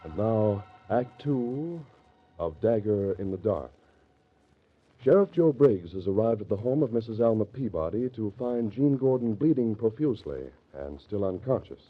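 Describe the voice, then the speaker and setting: Male radio drama announcer; lively, suspenseful delivery, husky tone, thin phone-like vintage recording. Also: lowish voice, normal speed. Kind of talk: old-time radio drama narration.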